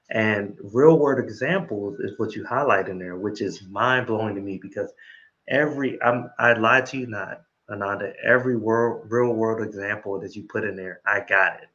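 A man with a fairly low voice hosts an interview, sounding bright and forceful. He talks with enthusiasm and keeps tagging "right?" onto his sentences. The recording is dull and lo-fi. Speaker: interview host. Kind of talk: casual interview chat.

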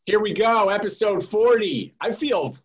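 A man's voice: high-pitched, unhurried. Upbeat male podcast host, dull lo-fi sound. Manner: upbeat, eager, repeats words while finding questions. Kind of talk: podcast interview.

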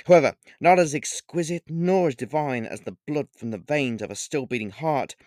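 A man's voice: fairly high pitch, normal speed, darkish tone, dry recording, clean studio sound, voice pushed up, close to an everyday voice. A man voicing a smug, menacing male vampire king who talks grandly and savors each word, in a storybook reading. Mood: savoring.

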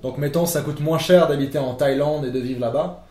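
A man's voice: a little high, brisk, dark tone. A young French man, a podcast guest, explaining at length in a casual conversation with many fillers and restarts. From France. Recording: echoey room.

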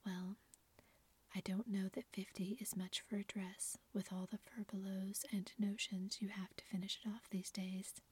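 A woman's voice: medium pitch, flat delivery, unhurried, darkish tone, slightly rough. A woman whispering a patient young husband. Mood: dry. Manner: whispered throughout.

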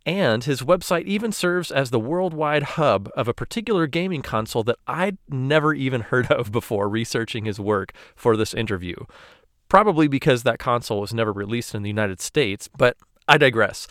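A man's voice: medium pitch, expressive, normal speed, a bit bright, no echo. A man hosts a podcast in a mid-range voice, upbeat and articulate as he introduces his guest and asks questions. The sound is close and dry, with almost no room. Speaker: podcast host. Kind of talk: scripted intro then interview questions.

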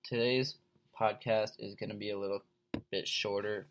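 Young guy, blocked nose from a cold, low gravelly voice, rambling podcast host. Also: level tone, moderate pace. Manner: rambling, filler-heavy, laid-back. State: stuffed-up head cold, sore throat. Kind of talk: casual solo podcast chat.

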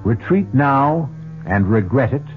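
A man hosting a radio drama with measured, deliberate, ominous scripted narration. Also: medium pitch, lively intonation. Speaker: host. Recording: muffled, telephone-thin old radio recording. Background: prominent music.

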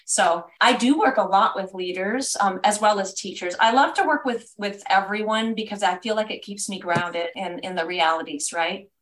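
American woman guesting on a podcast, super enthusiastic, lists things off, middle pitch. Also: moderate pace, few pauses, low-quality recording. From United States. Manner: enthusiastic, warm about her work, speaks in lists. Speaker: guest, language education consultant. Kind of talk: podcast interview answers.

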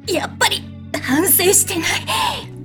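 Resentful female monster, high pitch, sharp tongue, melodrama, music behind. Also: expressive, a little slow, heavily acted. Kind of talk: melodramatic audio drama acting.

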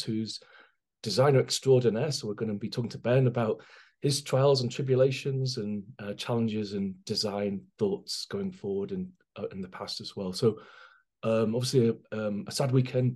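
A middle-aged man hosting a football podcast, chatting casually with frequent ums. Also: lowish voice. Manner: relaxed, chatty, hesitant with ums. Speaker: podcast host.

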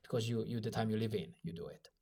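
Mellow, earnest man co-hosting. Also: lowish voice. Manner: earnest, thoughtful, pausing with fillers. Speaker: podcast co-host. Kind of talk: casual podcast chat.

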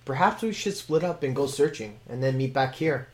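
A man's voice: mid-range voice, animated, normal speed, lightly acted. Man doing a polite talking dog. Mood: earnest.

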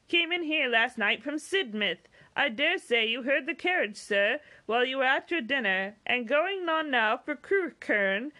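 A woman's voice: high-pitched, bright, forceful tone, voice pushed up, heavily acted. A woman voicing a polite, obliging male waiter at an inn in an audiobook novel.

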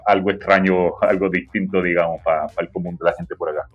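A middle-aged man with a very deep, low voice and a Panamanian Spanish accent tinged with Italian. He talks in a loose, rambling way with plenty of fillers and restarts. The audio is dull and lo-fi, with faint music underneath. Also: level tone, brisk. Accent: Panamanian Spanish mixed with Italian. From Chile. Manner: rambling, many hesitations and fillers. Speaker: interview guest, hip hop musician. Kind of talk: casual conversation.

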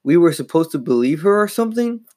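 A middle-aged storybook father, acted out by a man reading aloud. He sounds baffled and gravely concerned, with a disapproving, puzzled way of speaking. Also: a little high, very animated, quick pace, dark tone, voice pushed up.

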